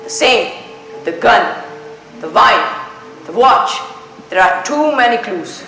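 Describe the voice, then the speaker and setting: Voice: mid-range voice, lively intonation, creaky, pushed and bright, exaggerated voice. Young student playing a methodical detective on an echoey stage. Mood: pondering. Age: teenager. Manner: deliberate, questioning, formal, with French courtesies. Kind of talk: school stage play acting. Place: indoor school stage. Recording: echoey school stage recording with music.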